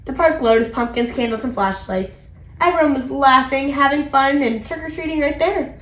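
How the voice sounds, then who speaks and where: A woman reading a children's story aloud in an animated, expressive way. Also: high voice, moderate pace, roomy sound. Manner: animated, acts out character dialogue. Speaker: read-aloud channel host.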